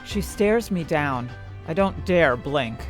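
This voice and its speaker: Expressive, lower-voiced earnest woman chemist. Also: takes it slowly, faint music behind. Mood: confiding, earnest. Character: earnest, anxious young woman chemist narrating her own tale. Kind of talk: first-person scripted narration in fantasy audio drama.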